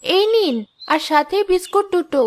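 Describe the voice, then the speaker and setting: A woman's voice: very high-pitched, moderate pace, a little hiss or hum. A woman voicing a kind, polite village mother who runs a tea stall in a cartoon. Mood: gentle, welcoming. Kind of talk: Bengali cartoon dub. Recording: music behind the voice.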